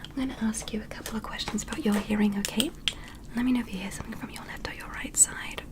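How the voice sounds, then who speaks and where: A soft, breathy whisper from a gentle alien examiner, acted out by a woman in a sci-fi ASMR roleplay. The voice sits fairly high, and the manner is careful and soothing, full of quiet curiosity about humans. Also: wildly swooping pitch. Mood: calm, curious. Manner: slow, careful, soothing step-by-step instructions.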